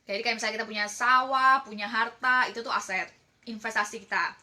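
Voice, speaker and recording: A woman's voice: on the high side, fairly even intonation, a little fast, pushed and bright. An Indonesian-accented woman presenting as a team leader, explaining casually and chattily while often checking in with listeners.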